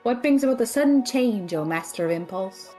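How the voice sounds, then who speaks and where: Woman as young female student, high animated voice, exasperated, sarcastic, audio drama, music behind. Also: a little fast, natural voice. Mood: wry, bossy. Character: stressed, sharp-tongued young female student, loyal friend. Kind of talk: audio drama acting, naturalistic banter.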